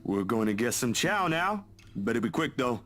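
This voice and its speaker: A man's voice: mid-range voice, big pitch swings, moderate pace, fairly bright tone. An actor playing an easygoing, caring young man in a visual novel, talking casually and teasing a friend in a folksy way. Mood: playful, teasing.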